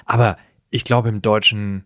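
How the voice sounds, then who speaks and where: A man's voice: fairly low pitch, flat delivery, normal speed, fairly bright tone, no room sound, studio quality. A man talking through ideas as a podcast co-host in a casual, colloquial, explanatory way. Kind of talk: casual, reflective discussion.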